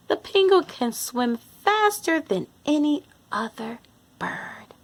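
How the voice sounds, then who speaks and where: A woman's voice: fairly high pitch, fairly bright tone, slight background noise. A woman reading a picture book aloud to young children, speaking expressively and addressing them directly as host. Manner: acts out animal sounds. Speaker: host and reader of a children's read-along.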